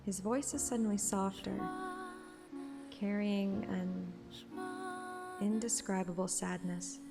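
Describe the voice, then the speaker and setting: A woman's voice: mid-range voice, fairly even intonation, slow pace, vocal fry. A woman reading a romantic fantasy story aloud as a narrator in an informal podcast. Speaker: fan audiobook narrator and podcast host. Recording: music playing behind the voice.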